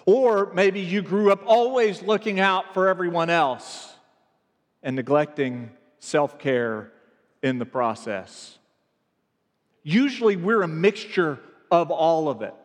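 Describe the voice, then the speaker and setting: A man's voice: fairly high pitch, lively intonation, slow pace, in a large hall. A man from the American South preaching a reflective sermon as pastor, earnest and building in emphasis.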